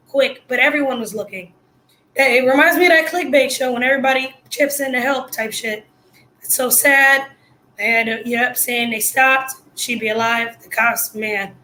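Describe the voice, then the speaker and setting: A hoarse woman giving casual, blunt live reaction commentary full of slang. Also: high-pitched, bright, forceful tone, low-quality recording. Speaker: livestream commentator.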